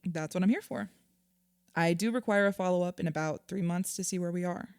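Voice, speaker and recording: Audio drama: actress as earnest female therapist, mellow tone, measured and reassuring, very dry studio-close sound. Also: fairly low pitch, clear, resonant tone, no echo, close to an everyday voice. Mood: firm, encouraging. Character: patient, earnest female therapist counselling a client. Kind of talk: naturalistic audio drama acting.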